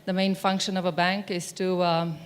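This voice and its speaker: A woman's voice: lowish voice, little pitch movement, normal speed, clean tone. Chatty middle-aged woman giving a keynote. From Romania. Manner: chatty, asks the audience questions. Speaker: keynote speaker. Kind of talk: conference keynote talk. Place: stage of a large conference hall. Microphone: mic on stage.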